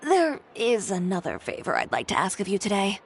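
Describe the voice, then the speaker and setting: A cool proud dressmaker, dry and sarcastic, pitch moves a lot. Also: female voice, brisk, vocal fry, slight background noise. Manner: calm, dry, with cutting sarcasm. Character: cool, composed woman dressmaker, cutting and proud.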